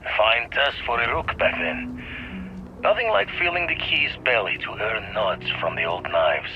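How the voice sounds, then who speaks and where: An actor playing an old reformed space pirate turned astronomer, musing over the past in slangy storytelling for a video game. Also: male voice, lowish voice, very animated, moderate pace. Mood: reminiscing. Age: older. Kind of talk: scripted monologue, game voice acting. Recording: dull lo-fi audio with clear noise.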